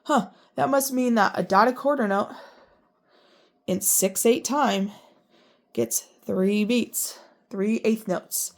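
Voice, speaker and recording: A woman music teacher with a congested voice, explaining rhythm reading and counting beats aloud in strict, even syllables. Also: on the high side, slow pace, long pauses. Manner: chatty and self-deprecating between counts.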